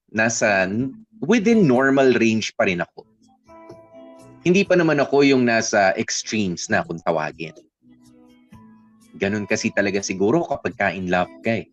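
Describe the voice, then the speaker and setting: Jealous young Filipino man, confessional, animated intonation, hesitant Taglish, slightly dull sound, music behind. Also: on the low side. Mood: sheepish, earnest. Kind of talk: first-person letter narration in radio drama.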